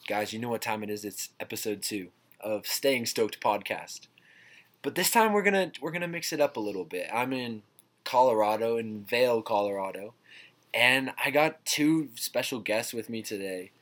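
A man's voice: medium pitch, expressive, normal speed, a bit bright. A teenage boy hosting a casual podcast, laid-back and often repeating and restarting his words. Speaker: podcast host. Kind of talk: casual chat. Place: indoors, ski trip lodging.

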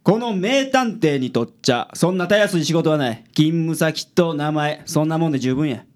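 A man playing a gruff, self-important detective with a Kansai accent in a radio drama. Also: fairly high pitch, expressive, moderate pace, natural voice. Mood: confident. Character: gruff, self-important middle-aged male private detective.

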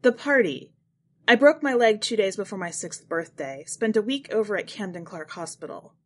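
A guarded, skeptical grown son in an audio short story, read by a woman. The delivery is dry and matter-of-fact in its wording, yet the pitch moves expressively. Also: lively intonation, moderate pace, natural voice. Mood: dry, contradicting. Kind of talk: acted dialogue in a short story reading.

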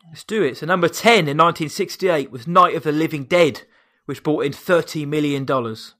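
A man with a high, squeaky voice co-hosts a casual film podcast. His tone is bright and forceful, and he rattles through names and box-office figures in a chatty way, poking fun at himself as he goes. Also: a little fast. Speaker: podcast co-host.

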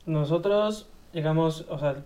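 A woman's voice: low voice, a little slow, dark tone. A young woman with a Mexican accent, a podcast guest who talks in a casual, joking way with crude slang.

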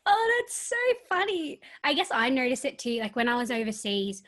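An Australian woman from the countryside hosting an interview, speaking softly and reflectively. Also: high voice, big pitch swings, moderate pace. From rural Australia. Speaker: podcast host. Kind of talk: casual interview chat.

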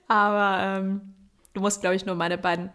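A woman's voice: medium pitch, fairly bright tone, smooth. A woman from Bavaria with a light Bavarian accent, co-hosting a casual podcast chat, laughing often and rambling with fillers. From Bavaria, Germany. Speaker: podcast co-host. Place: at home, neighbors partying nearby. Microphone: separate remote recording, two takes.

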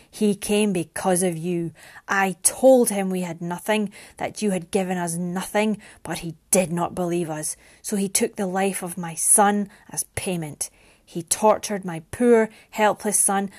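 A woman voicing an enraged, grieving father in a storybook, growling and booming his accusations. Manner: forceful, accusing.

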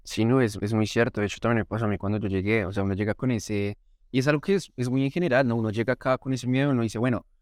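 A man's voice: mid-range voice, fairly even intonation, fast, a little mellow, no room sound, studio quality. A man interviewing a guest, asking questions and agreeing often in short replies. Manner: agreeable, brief backchannel replies. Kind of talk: casual interview.

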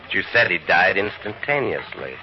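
A man's voice: low voice, sing-song, moderate pace, highs cut off. An actor playing a dogged, impatient man who pushes his questions insistently. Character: dogged, impatient American male writer. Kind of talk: 1940s radio acting. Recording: hissy old radio broadcast recording.

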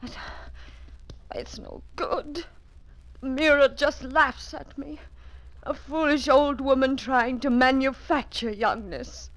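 A vain aging Parisian widow. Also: female voice, sits high, steady tone, slow pace, fairly bright tone, dry recording, full character voice, low-quality recording. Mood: despairing. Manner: theatrical, breathy sighs, swings between despair and command. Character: vain aging Parisian widow, melodramatic, grieving her lost beauty. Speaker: lead actress.

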